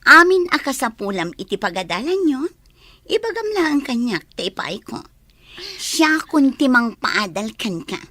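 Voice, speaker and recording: Husky, high-pitched earnest young bride acted by a woman. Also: sing-song, unhurried, bright, forceful tone, no room sound, full character voice. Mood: kindly. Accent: Ilocano Filipino. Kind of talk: Ilocano radio drama acting. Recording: music playing behind the voice.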